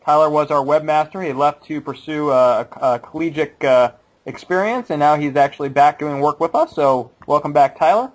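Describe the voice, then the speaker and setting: Late-20s guy on a podcast, kinda high voice, laughs a lot, jokey cynic. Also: moderate pace, rough recording. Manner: laughs often, playfully cynical. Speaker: podcast co-host. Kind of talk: casual chat.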